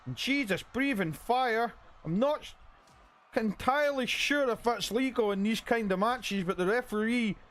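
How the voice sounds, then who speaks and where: A man with a hoarse voice commentating a video game wrestling match and hosting his channel, with an announcer's style. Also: a little slow, a bit bright. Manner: hype announcer, booming when calling the finish.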